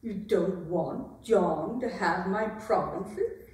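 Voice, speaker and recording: A woman playing a weary, wounded, sharp-tongued medieval queen in a dramatic staged reading. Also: medium pitch, slow pace, natural voice. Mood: bitter, defiant. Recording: very echoey room, distant microphone.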